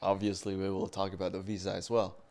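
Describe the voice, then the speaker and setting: Young male co-host, low and clean-toned, easygoing interviewer. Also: monotone, fast, darkish tone. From Indonesia. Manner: prompting, asks guiding questions. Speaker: podcast co-host. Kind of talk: casual conversational podcast.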